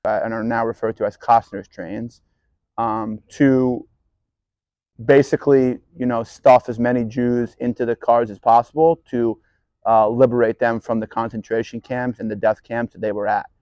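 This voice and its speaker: A man's voice: medium pitch, steady tone, normal speed, no room sound, lo-fi sound. A man recounting family history in a documentary interview, hesitant with "you know". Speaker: grandchild of survivor.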